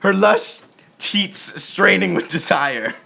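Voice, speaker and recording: A man reads a story aloud as a mock-serious drama. His voice sits fairly high and has a gravelly creak. He stretches his phrases theatrically, with a forceful, bright tone. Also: animated, slow, slight background noise. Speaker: narrator of a comedic dramatic reading.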